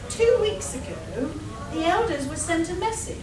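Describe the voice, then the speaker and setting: A woman's voice: extremely high pitch, huge pitch swings, moderate pace, crowd noise, exaggerated voice. A woman playing a melodramatic, evasive village woman in a live comedic radio play. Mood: ominous storytelling. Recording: echoey hall, far mic, noisy.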